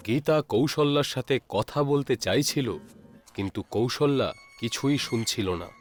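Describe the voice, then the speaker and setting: A man narrating a scripted horror story in a measured, dramatic storytelling manner. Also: medium pitch, soft background music.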